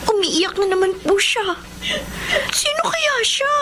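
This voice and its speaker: A woman's voice: extremely high pitch, expressive, slow, noisy background, full character voice. A woman acting an anxious, confused young daughter in a Filipino radio horror drama, full of respectful questions.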